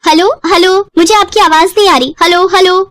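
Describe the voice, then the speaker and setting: A polite young daughter-in-law from a cartoon family tale, voiced by a woman. She has a high voice and a soft, respectful manner, in a dry recording. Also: fairly even intonation, a little fast, dry recording. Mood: puzzled.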